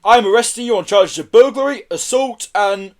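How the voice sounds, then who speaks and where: A man voicing an authoritative policeman in a children's story, booming out orders in an official, commanding way. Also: normal speed, natural voice. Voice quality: booming, loud. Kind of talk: children's story read aloud.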